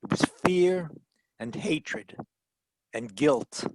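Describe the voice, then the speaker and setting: An actor playing a brusque, world-weary private detective in 1940s radio style, terse and wry. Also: male voice, a little high, animated, extremely slow, no room sound, heavily acted, low-quality recording. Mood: brooding narration. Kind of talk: 1940s radio detective acting with first-person narration.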